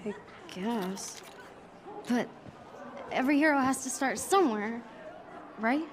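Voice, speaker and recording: A young woman with an American accent playing an earnest, awestruck teenage superhero fan in a video game, excitable and halting when nervous. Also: on the high side, a faint crowd, a little hiss or hum. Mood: awed, eager. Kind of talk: cinematic video game acting. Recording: music behind the voice.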